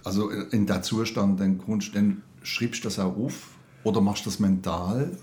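A man with a low-pitched voice in a casual interview. He puts informal questions and short comments, as a conversation partner would. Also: steady tone, normal speed, darkish tone. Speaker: interviewer, radio maker. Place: at the guest's home.

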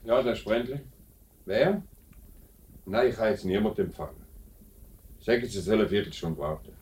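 A very low-voiced Swiss German company director, acted by a man in an old radio drama. He is deliberate and questioning, a man in charge who is reluctant to be hard. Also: expressive, darkish tone, a little hiss or hum, close to an everyday voice. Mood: businesslike. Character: lenient, hesitant company director, middle-aged man in charge. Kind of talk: 1950s radio play acting.

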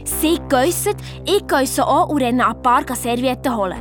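A woman playing an anxious, excitable young girl detective with a Swiss German accent, telling her adventure in a children's radio play. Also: high voice, moderate pace. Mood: anxious, excited. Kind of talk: first-person children's radio play narration. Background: music.

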